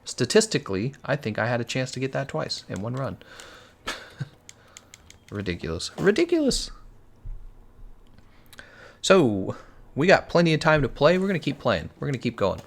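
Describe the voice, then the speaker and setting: A man's voice: mid-range voice, very animated, normal speed, gravelly, pauses often. A young man with an American accent, chatting casually on a game stream, answering viewers and laughing often. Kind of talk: casual live-stream commentary.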